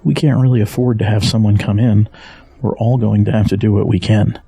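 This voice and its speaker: An actor playing a gentle, worried middle-aged father in an audio drama, speaking in a measured, earnest way with a husky voice. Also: fairly low pitch, fairly even intonation. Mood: earnest, reassuring.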